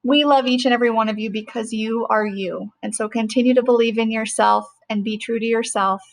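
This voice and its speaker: Gentle high-voiced woman reading a bedtime story. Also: steady tone, fairly quick, gravelly, poor audio. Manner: gentle, sing-song rhyming reading. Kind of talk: storybook read-aloud.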